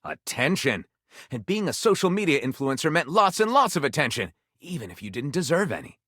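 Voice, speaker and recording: An overwrought male game narrator spinning lurid fantasy tales. His pitch sweeps widely through theatrical, suspenseful phrases in a scripted storytelling style. The sound is dry, with hardly any room to it. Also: very animated, fairly quick, fairly bright tone, no room sound. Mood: grandiose.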